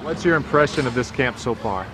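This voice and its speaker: A man's voice: medium pitch, animated, fairly quick, background noise. A man interviewing people at a camp outdoors, asking short, prompting questions.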